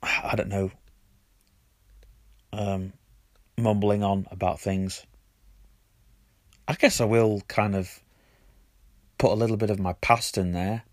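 A man hosting his own audio blog, his voice husky from a cold, chatting and rambling with lots of ums. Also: on the low side, fairly even intonation, takes it slowly, long pauses, no room sound, studio quality. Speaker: podcast host. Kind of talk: casual solo audio blog.

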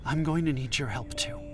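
A man playing an earnest, anxious, remorseful anthropologist in an audio drama, with a halting, pleading delivery. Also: medium pitch, lightly acted. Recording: clearly noisy ambient background.

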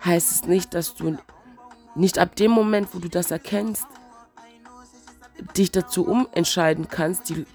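A woman with a cold talks slowly in a casual spiritual vlog, her voice stuffy and wheezing. Her tone sits a little low and turns gravelly and fried, as she searches for words in an unhurried, reflective way. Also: darkish tone, faint music behind. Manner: slow, searching, reflective musing. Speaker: vlogger.

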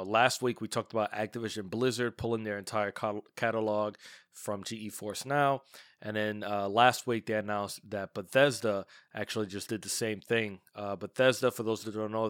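A man's voice: lowish voice, dry recording, studio quality. A man with a congested, stuffy voice from a cold, hosting a casual gaming podcast and talking with lots of fillers and restarts. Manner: chatty, many fillers and restarts. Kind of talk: casual solo podcast commentary.

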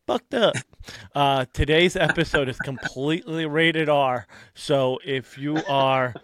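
A man's voice: a little high, fairly even intonation, slow, background noise. A man hosting a casual podcast, talking loosely with lots of "uh" and "um" as he reads out sponsors and giveaways. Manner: loose, rambling, lots of filler words. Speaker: podcast host.

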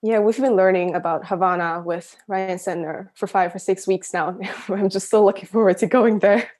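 A woman's voice: medium pitch, fairly even intonation, normal speed, darkish tone. A young woman from Shanghai chatting casually as an interview guest, giggly and scattering "like" through her talk. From Shanghai, China. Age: young adult. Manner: chatty, giggly, frequent use of "like". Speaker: interview guest, university student. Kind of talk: casual chat, personal anecdotes.

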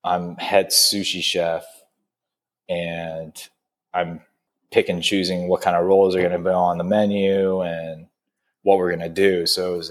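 A chef in his thirties chatting casually over drinks, rambling with lots of fillers and laughing often. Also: deep voice. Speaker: chef and restaurant owner. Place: in a restaurant.

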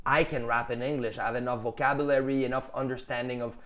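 A man's voice: mid-range voice, steady tone, fast talker, a bit bright. A man from Quebec, a rapper with a Quebec French accent, talking in an interview and explaining at length in long, thoughtful runs. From Quebec, Canada. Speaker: rapper and musician guest. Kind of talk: interview conversation.

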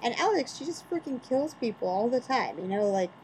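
A woman's voice: fairly high pitch, sing-song, a little fast, a little mellow, slight background noise, poor audio. A woman co-hosting a podcast in casual chat, arguing her points with rhetorical questions. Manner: opinionated, builds arguments with rhetorical questions. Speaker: podcast co-host.

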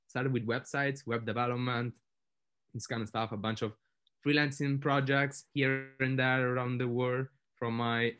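A man's voice: mid-range voice, a bit bright. A young man co-hosting a casual podcast, easygoing and playful. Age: young adult. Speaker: startup co-founder, podcast co-host.

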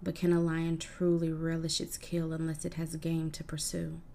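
A woman voicing a proud, defiant cursed gorgon woman in a hushed, breathy recitation. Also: sits low, monotone, moderate pace, a little hiss or hum.

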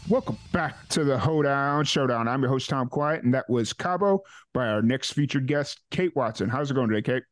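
A man hosting a casual podcast interview, relaxed and loose, with lots of "uh" and trailing "so". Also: mid-range voice, moderate pace, hardly any pauses, dry recording, clean studio sound, faint music behind. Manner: relaxed, many "uh" fillers and trailing "so". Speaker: podcast host.

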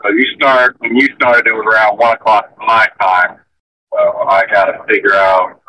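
Bright-voiced man on a choppy call. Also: lowish voice, little pitch movement, moderate pace, a little hiss or hum, poor audio. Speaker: guest calling in. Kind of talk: casual chat over a call.

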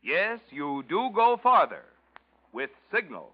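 A male announcer from an old radio broadcast, his voice fairly high and swinging lively up and down as he reads with polished emphasis. The recording is dull and lo-fi. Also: slow, soft background music. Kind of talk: 1940s radio sponsor announcement.

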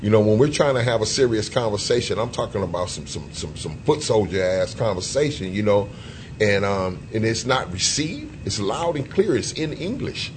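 A man co-hosting a podcast, talking loosely and profanely, with lots of "you know" fillers and sudden jumps in pitch. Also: big pitch swings, moderate pace, a little hiss or hum. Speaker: co-host. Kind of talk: casual podcast discussion.

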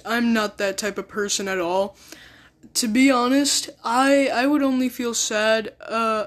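A teenager chatting through a storytime vlog in a loose, rambling way. The voice sits at an ordinary middle pitch. It loops back on the same phrases and leans hard on key words. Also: a bit bright. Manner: rambling, repetitive, emphatic. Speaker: student storyteller.

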